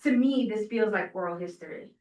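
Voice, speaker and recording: A woman's voice: medium pitch, fairly quick, a little mellow. A woman in a conversational panel discussion, thinking aloud as she poses questions and checks for agreement. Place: indoor event room. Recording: muffled, echoey room, distant microphone.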